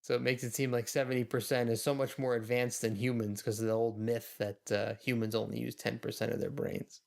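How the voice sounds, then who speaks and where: A man's voice: medium pitch, level tone, a little fast, vocal fry, darkish tone. Wryly musing man, casual podcast commentator. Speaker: podcast co-host.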